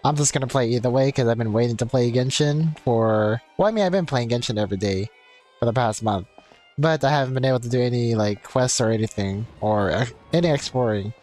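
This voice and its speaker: A man's voice: mid-range voice, a little fast, a little mellow. A man livestreaming a game, talking in an informal, rambling way as he chats and reads aloud. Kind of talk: casual livestream chat, reading game text aloud. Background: game music.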